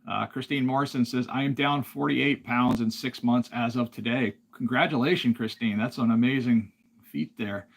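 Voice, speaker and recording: A man from Alaska hosting a casual livestream, talking in a relaxed, rambling way and reading viewer comments aloud. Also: medium pitch, moderate pace, vocal fry. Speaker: livestream host.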